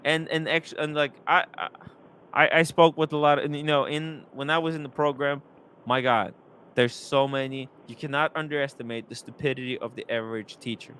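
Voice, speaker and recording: A young man hosting a live stream, rambling and animated, reading chat comments aloud. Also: medium pitch, brisk, a little hiss or hum.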